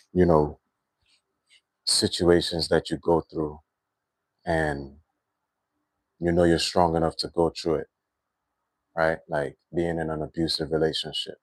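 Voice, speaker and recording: A man with a Trinidadian accent hosting a livestream, talking in a reflective, unhurried way with lots of "you know" and "right". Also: rumbling low, dry recording. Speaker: livestream host. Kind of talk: spiritual livestream monologue.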